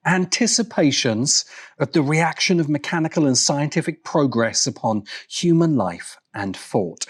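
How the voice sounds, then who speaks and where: Man narrating with lively, swinging pitch. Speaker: video essay narrator and host. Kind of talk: scripted narration, literary video essay. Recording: music behind the voice. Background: music.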